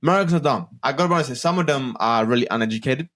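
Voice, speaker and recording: A man's voice: medium pitch, rapid-fire, fairly bright tone. A young man from Australia, a podcast co-host, joking and rambling in casual slangy chat. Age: young adult.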